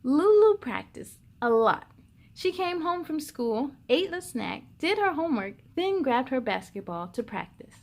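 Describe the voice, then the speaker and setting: A woman hosting a story time for children, reading and chatting in an animated, playful way. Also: high voice, measured pace. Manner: animated, exclamatory, playful with sound effects. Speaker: story time host reading picture books. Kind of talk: children's read-aloud storytelling.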